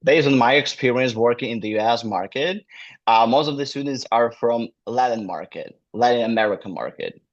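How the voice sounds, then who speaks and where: A man's voice: mid-range voice, moderate pace. Ukrainian-accented man on a dull call. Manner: non-native phrasing with frequent fillers. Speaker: guest, admissions manager. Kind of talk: casual interview talk.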